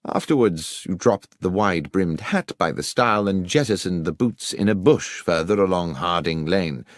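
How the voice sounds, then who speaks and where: A man's voice: sits low, lively intonation, normal speed, no room sound, clean studio sound, voice pushed down. A man voicing a confident, incisive detective in an audiobook, speaking formally and precisely as he lays out deductions. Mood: assured, incisive. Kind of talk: audiobook character acting.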